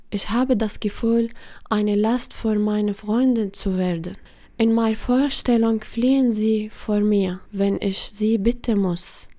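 Woman reading a personal story out loud, real slow and earnest, warm low tone. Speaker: reader.

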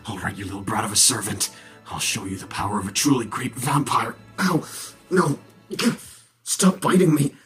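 A man's voice: fairly low pitch, moderate pace, fairly bright tone, natural voice. A man voicing a haughty vampire villain, boastful but cowardly, with a dramatic, scornful delivery in an audio roleplay. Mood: menacing, pained. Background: background music.

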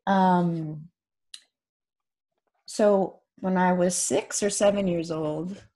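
A woman's voice: lowish voice, fairly even intonation, extremely slow, warm tone. A woman in her forties whose first language is Farsi, hosting a casual talk and laughing often as she speaks. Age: 40s. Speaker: author and podcast host. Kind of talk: casual conversational interview.

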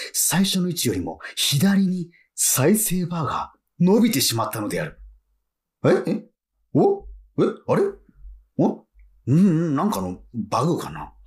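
An actor playing an excitable male fan who lives alone, narrating and muttering to himself in a flustered way in a radio drama. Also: mid-range voice, close to an everyday voice. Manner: animated, self-muttering, easily flustered. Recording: faint music behind.

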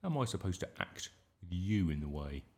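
A man's voice: low-pitched, sing-song, a little fast, a little mellow. A man voicing a stubborn, cheeky young schoolboy in a children's audiobook, blunt and insistent. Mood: defiant.

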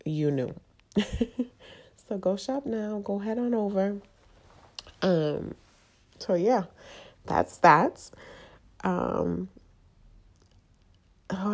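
A woman hosting her own podcast, congested and a little nasal from a cold, talking candidly in a halting, confessional way. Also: mid-range voice, darkish tone. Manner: halting, confessional, with frequent fillers. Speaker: podcast host. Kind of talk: candid personal monologue.

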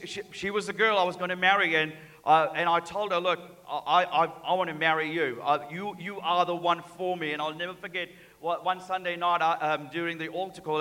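A man in his thirties from Sri Lanka with a Sri Lankan and New Zealand accent, preaching a sermon as a guest speaker, stammering over repeated words and building to fervent shouts. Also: sits high. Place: large church hall. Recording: reverberant hall.

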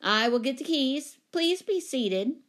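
A woman voicing a large, commanding, haughty lady, booming, imperious and fussy, in a storybook reading. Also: lively intonation, measured pace. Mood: brisk, officious.

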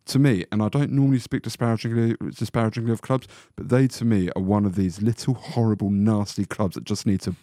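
A man's voice: fairly low pitch, fairly even intonation, quick pace, hardly any pauses, warm tone, no room sound, clean studio sound. A man co-hosting a casual fan podcast, opinionated and heated, with frequent restarts when he gets going. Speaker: podcast co-host.

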